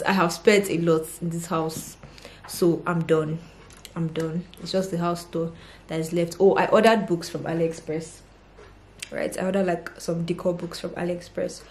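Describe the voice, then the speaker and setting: A woman's voice: lowish voice, darkish tone. A woman in her twenties chatting casually to camera in her apartment, upbeat and rambling with fillers. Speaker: vlogger. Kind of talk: casual vlog chat to camera. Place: small furnished apartment living room. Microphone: camera at arm's length.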